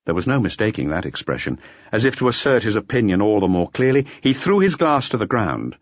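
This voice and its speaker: A man's voice: lowish voice, husky, no room sound, dull audio. A man reading literary fiction as an audiobook narrator, in a scripted storytelling style.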